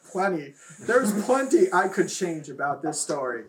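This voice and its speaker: A man's voice: a little high, very animated, measured pace, slight background noise. A man reading fan fiction aloud and chatting casually about it, with a loose, sweary delivery. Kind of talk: reading a story aloud, then casual chat.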